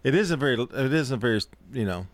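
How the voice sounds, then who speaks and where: A man's voice: medium pitch, animated, fast, no room sound. Playful man hosting morning radio. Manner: playful, joking, laughs often. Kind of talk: casual morning radio banter.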